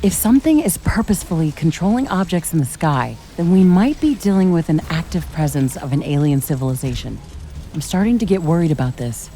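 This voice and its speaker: An actress playing a curious, determined scientist-commando in a video game, speaking in an earnest, articulate way. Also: female voice, low voice, very animated, brisk, mellow, a little hiss or hum. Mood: worried. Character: curious, determined female scientist-commando exploring an alien world. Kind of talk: scripted video game dialogue.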